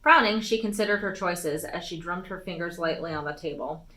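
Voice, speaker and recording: Bright, forceful woman reading aloud. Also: lowish voice, a little fast, slight background noise. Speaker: storytime reader. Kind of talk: expressive read-aloud of a fantasy novel.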